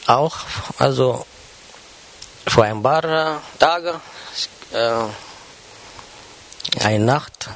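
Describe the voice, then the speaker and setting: A man whose first language is Arabic, speaking to his church congregation in a halting personal testimony, with many pauses and fillers. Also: medium pitch, a bit bright, a little hiss or hum, rough recording. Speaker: church member addressing the congregation. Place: church service.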